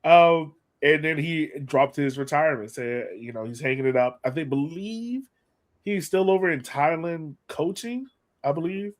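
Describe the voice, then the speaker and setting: A man's voice: a little high, expressive, normal speed. A man hosting a sports fan podcast, chatting casually in an informal, slangy, enthusiastic way. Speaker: podcast host.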